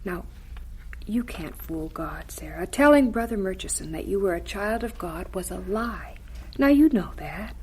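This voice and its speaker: Casting: actress for devout mother, firm and caring, medium-pitched, deliberate, slight background noise. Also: darkish tone, natural voice. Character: stern, caring, devout mother of a young girl. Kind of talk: radio drama acting.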